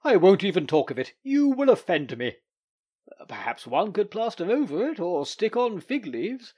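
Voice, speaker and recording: A man voicing a fussy middle-aged male doctor in a storybook reading, speaking emphatically with a slightly husky voice. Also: on the high side, very animated, normal speed, heavily acted. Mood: indignant. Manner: emphatic, indignant.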